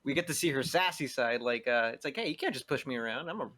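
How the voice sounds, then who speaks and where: A man chatting casually on a podcast panel, halting and repeating words as he goes. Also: mid-range voice, expressive, sharp tone, dry recording. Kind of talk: casual chat.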